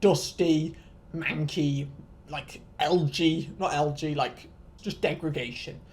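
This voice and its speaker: Casting: vlogger in their twenties, medium-pitched voice, casual storyteller, rambling, self-deprecating, sweary. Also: steady tone, slow pace. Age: 20s. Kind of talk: casual storytelling rant to viewers.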